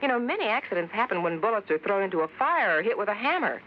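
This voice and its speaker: Scolding 1950s radio housewife, animated actress. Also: female voice, medium pitch, fast talker, natural voice. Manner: scolding, instructive, emphatic. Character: exasperated, fussing wife and mother of young children. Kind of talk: 1950s radio safety sketch acting. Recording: dull, faintly noisy 1950s radio recording.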